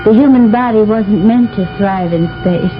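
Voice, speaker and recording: An actress as a flirty spaceship lieutenant. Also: female voice, medium pitch, moderate pace, over music, lightly acted. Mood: flirtatious. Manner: flirtatious, teasing. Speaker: service lieutenant. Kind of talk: 1970s radio drama acting. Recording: dull old radio broadcast.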